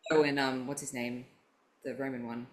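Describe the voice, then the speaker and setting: A woman's voice: rumbling low, flat delivery, moderate pace, slight background noise. A woman hosting a podcast, talking animatedly and swearing freely as she thinks aloud in casual chat. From Greek heritage. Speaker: podcast host.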